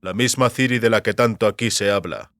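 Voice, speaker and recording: A man voicing a brutal, gloating bounty hunter in an audiobook, speaking slowly and menacingly through gritted teeth. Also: fairly low pitch, a bit bright, dry recording, clean studio sound, close to an everyday voice. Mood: gloating menace. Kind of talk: audiobook character acting.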